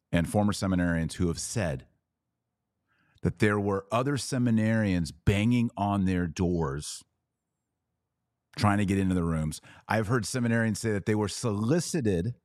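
Male podcast host, low voice in a dry, close space, emphatic informal commentary. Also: a little mellow, dry recording. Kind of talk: informal commentary on a played clip.

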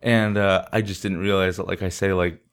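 A man's voice: deep voice, flat delivery, moderate pace, smooth. A man telling a casual story as a podcast co-host, in slangy, profane talk with acted-out quotes. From San Diego, California, United States. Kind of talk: casual chat, anecdote telling.

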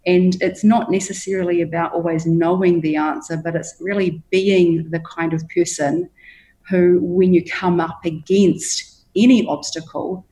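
A woman's voice: fairly low pitch, steady tone, measured pace, mellow, low-quality recording. Emphatic New Zealand woman coach. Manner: emphatic, motivational, frank. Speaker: leadership coach, guest. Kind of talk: interview answers.

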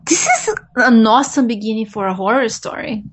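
Higher-voiced woman chatting expressively. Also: big pitch swings, a little fast, breathy edge. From Brazil. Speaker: podcast co-host. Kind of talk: casual conversational chat.

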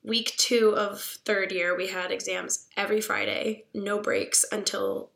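A young woman from Pittsburgh, a veterinary student, chatting casually on a podcast with a raspy, hoarse voice from a cold. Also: medium pitch, a little slow. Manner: chatty, animated, laughs often.

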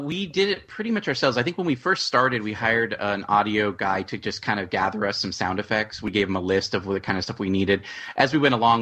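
A man speaking casually and haltingly as a writer-producer guest in a panel discussion. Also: lowish voice, fairly bright tone.

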